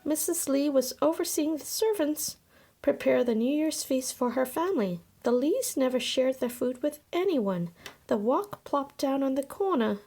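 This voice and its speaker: Woman storybook reader, high voice, scripted narration for children. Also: lively intonation, moderate pace, warm tone.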